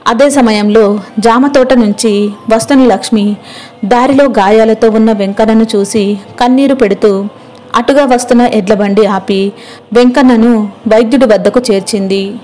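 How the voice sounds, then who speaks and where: Higher-pitched woman storyteller. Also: fairly even intonation, moderate pace, mellow. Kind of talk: scripted narration of a moral story.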